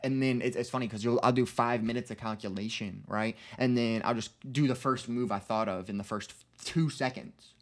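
A man's voice: medium pitch, fairly even intonation, fairly quick, few pauses. A man in his early twenties talking casually and reflectively on a podcast, earnest and rambling, with plenty of slang. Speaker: podcast co-host.

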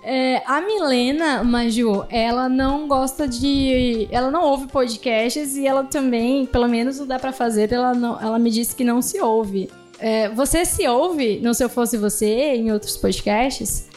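High-voiced woman hosting a podcast chat. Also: a little slow, a little mellow, soft background music. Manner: chatty, asks questions, laughs easily. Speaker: podcast host and editor. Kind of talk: casual podcast interview.

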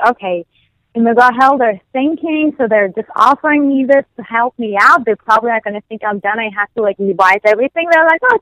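A woman, not a native English speaker, telling her story as a podcast guest in a lively, animated way, acting out the conversations she recounts. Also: a little high, no room sound, poor audio. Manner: animated storytelling, acts out quoted exchanges. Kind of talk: casual interview conversation.

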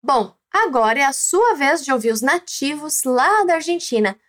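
A woman with a Brazilian Portuguese accent, teaching a language lesson slowly and clearly, prompting the listener to repeat. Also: sits high, lively intonation, heavy background noise. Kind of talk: scripted lesson narration.

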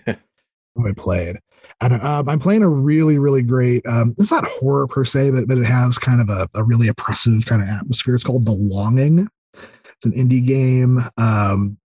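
Hesitant, enthusiastic man, husky close-up voice. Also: medium pitch, moderate pace, darkish tone, no echo. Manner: hesitant, thinking aloud, enthusiastic. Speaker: podcast guest. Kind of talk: casual interview chat about video games.

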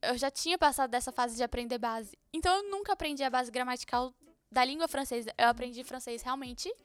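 A woman's voice: sits high, fast talker, fairly bright tone, smooth. A young Brazilian woman with a Brazilian Portuguese accent, chatting casually with slang and frequent laughs. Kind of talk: casual chat.

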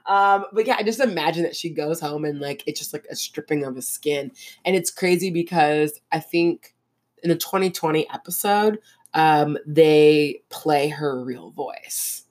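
A woman's voice: low voice, measured pace. A woman co-hosting a podcast in casual, joking chat, full of fillers. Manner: animated, joking, frequent "like". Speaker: podcast co-host.

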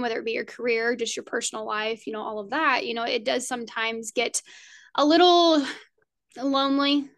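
A woman's voice: fairly high pitch, a bit bright. A woman with a slight Southern US accent, hosting a casual podcast interview in an upbeat, rambling, friendly way. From Indiana, USA. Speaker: podcast host.

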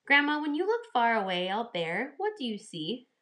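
A woman's voice: a little high, sing-song, normal speed, bright, forceful tone. A woman reading a children's storybook, voicing a curious, earnest young granddaughter with a polite, questioning manner. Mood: gentle, asking. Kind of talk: children's storybook read aloud.

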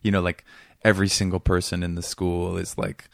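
A man from Seattle, a comedian guest in casual interview chat, talking in a rambling, self-deprecating way with a voice that is getting raspy. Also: low voice, monotone, brisk, no room sound, clean studio sound. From Seattle area, USA.

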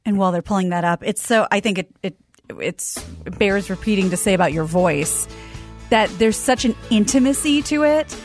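A woman's voice: mid-range voice, normal speed, a little mellow, faint music behind. A woman co-hosting a studio chat, speaking in a thoughtful, admiring way. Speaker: co-host.

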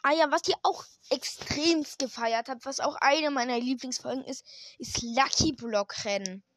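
A hoarse woman hosting a gaming channel, chatting casually and hesitantly while she plays, with lots of fillers and restarts. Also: high voice, moderate pace, fairly bright tone, no echo. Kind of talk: casual gameplay commentary. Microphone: desk microphone.

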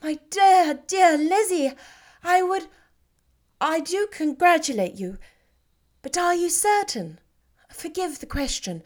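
A woman voicing a gentle, sweet-natured young woman who speaks softly and earnestly. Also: very high-pitched, animated, takes it slowly, pauses often, voice pushed up, heavily acted.

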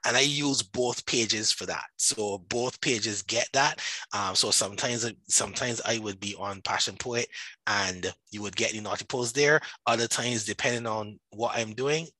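A man from Barbados with a Barbadian accent, chatting casually about his own work in an animated, emphatic way full of asides. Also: medium pitch, no echo, rough recording. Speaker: podcast guest, writer and self-publishing coach. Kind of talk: casual conversational self-promotion.

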